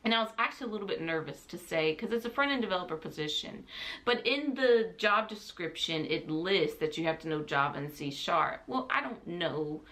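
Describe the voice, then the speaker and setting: A young woman talks casually, as if vlogging to a camera. Her voice sits a little low for a woman. She rambles along in a chatty, self-deprecating, giggly way. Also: animated, brisk, a bit bright. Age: young adult.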